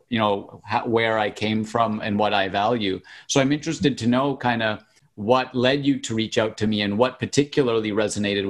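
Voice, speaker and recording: A man hosting a podcast who talks in an earnest, appreciative way. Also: on the low side. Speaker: podcast host. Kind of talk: podcast host talk.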